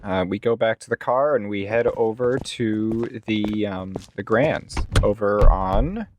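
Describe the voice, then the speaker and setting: A man improvising as a tabletop game player, talking deliberately with some hesitation. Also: lowish voice, highs cut off, background noise. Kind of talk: improvised actual-play game talk.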